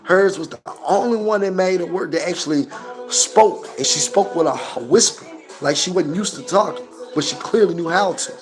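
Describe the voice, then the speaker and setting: A man in his thirties with a Memphis accent and a hoarse, coughing voice, reviewing a movie casually and profanely to his phone camera. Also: sits high, moderate pace, faint music behind. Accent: Southern US, Memphis. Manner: profane, animated, talks straight to camera.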